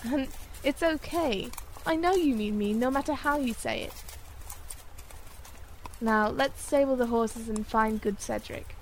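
A woman playing a loyal young blacksmith in an audio drama, calm and reassuring in manner. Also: a little high, unhurried, a little hiss or hum.

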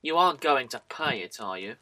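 A man's voice: mid-range voice, expressive, brisk, sharp tone. A man playing a jealous, sardonic young nobleman in an audio drama, formal and dryly sarcastic.

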